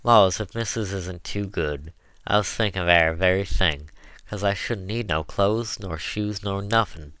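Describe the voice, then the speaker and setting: A man's voice: deep voice, unhurried, a bit bright, clear, resonant tone, no room sound. A man voicing an eager, good-humored woman, an enslaved cook, in folksy dialect for an audiobook. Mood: delighted. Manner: folksy dialect with mispronounced words. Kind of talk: audiobook character voice.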